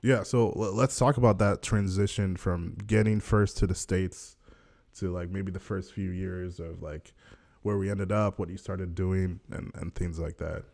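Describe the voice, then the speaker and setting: A man's voice: sits low, steady tone, measured pace, creaky, darkish tone. A man in his twenties hosting a casual family podcast, upbeat and teasing, with many "um" fillers. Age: 20s. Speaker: podcast host.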